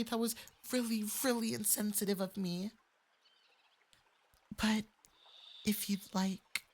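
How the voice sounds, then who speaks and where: A man playing a young kitsune fox boy who is sweet, polite and quietly possessive, speaking gently and hesitantly in an intimate ASMR roleplay with a soft, breathy voice. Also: high voice. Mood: flustered, apologetic, then tender, soft. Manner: gentle, hesitant, coy, conversational.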